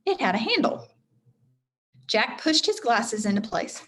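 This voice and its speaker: A woman reading a children's chapter book aloud in an expressive teacherly style, speaking directly to her young listeners. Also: a little high, measured pace. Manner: addresses young listeners directly, acts out dialogue.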